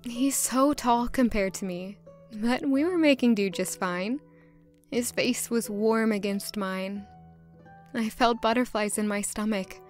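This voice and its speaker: A woman playing a gentle, self-doubting young castle maid in an audio drama, speaking softly and earnestly. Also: on the high side, a little slow, faint music behind, close to an everyday voice. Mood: tender, smitten. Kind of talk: audio drama acting with intimate first-person narration.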